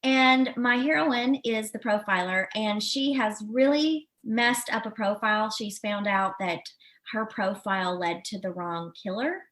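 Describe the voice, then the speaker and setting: A woman's voice: mid-range voice, a little slow, fairly bright tone. An American woman in her forties from Southern Illinois, talking casually as an author guest in an interview. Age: 40s. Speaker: podcast guest, novelist.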